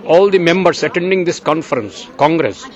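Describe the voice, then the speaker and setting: An older man from Bangladesh, an agricultural journalist, giving slow, earnest interview answers outdoors. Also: fairly high pitch, expressive, a faint crowd.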